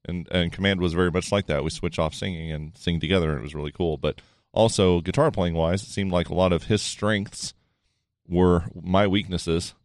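Deep-voiced musician dude thinking out loud, lots of restarts, dry sound. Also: male voice, no echo. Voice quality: low voice. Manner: thoughtful, halting, many restarts. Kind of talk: casual chat.

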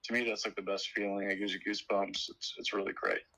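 A man's voice: on the low side, little pitch movement, fast, sharp tone, dry recording. A man from Texas, a guest musician telling laid-back stories in casual interview chat and often dropping "man". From Houston, Texas.

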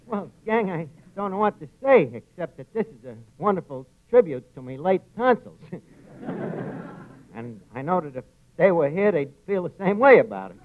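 Folksy, high-pitched New York tavern wisecracker. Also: male voice, sing-song, moderate pace. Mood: sentimental, grateful. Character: Archie, folksy wisecracking tavern man, mangles grammar, sentimental. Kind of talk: old-time radio comedy acting. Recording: muffled lo-fi vintage radio recording.

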